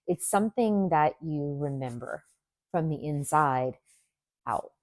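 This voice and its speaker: A woman teaching yoga nidra, leading a guided meditation in a gentle, soothing manner with deliberate pauses. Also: low voice, animated, no echo, clean studio sound.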